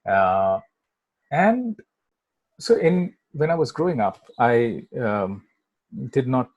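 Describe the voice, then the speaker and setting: A middle-aged man with an Indian English accent, a native Bangla speaker, tells his story as an interview guest. He rambles, leans on fillers and keeps asking "right?". His voice is slightly husky and sounds dry and close, with no room echo. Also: medium pitch, sing-song, takes it slowly, lo-fi sound. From Kolkata, India. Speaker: guest filmmaker. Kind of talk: casual interview chat.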